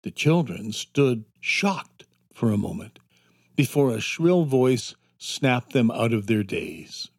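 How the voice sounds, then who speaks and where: A man narrating a scripted children's adventure story in a measured, dramatic storytelling manner. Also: mid-range voice, animated, no room sound, clean studio sound. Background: instrumental music.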